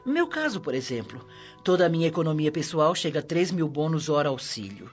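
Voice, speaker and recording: A woman's voice: sits low, sing-song, a little fast. An actress playing a wise, serene motherly spirit with a Brazilian accent, explaining things patiently and with dignity. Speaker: actress in a radio drama. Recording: dull lo-fi audio under music. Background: music.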